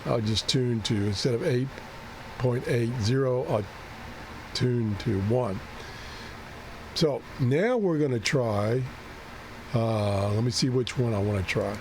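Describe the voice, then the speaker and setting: A man who is losing his voice and keeps clearing his throat talks through a radio setup, thinking aloud and correcting himself as he goes. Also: medium pitch, slow pace, pauses often, noisy background. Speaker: ham radio video host. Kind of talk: unscripted screen-share walkthrough.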